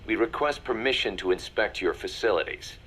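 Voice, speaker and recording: An actor playing a serious military second-in-command in a video game, briefing in a businesslike, measured manner. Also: male voice, on the low side, fairly bright tone, slightly rough.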